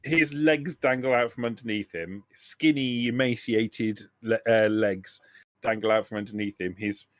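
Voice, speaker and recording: A man's voice: lowish voice, steady tone, measured pace, no echo. A man speaks very close and dry, with no room around him. He is a game master improvising descriptions for a tabletop roleplaying session. His delivery halts, circles back and rephrases as he builds each scene.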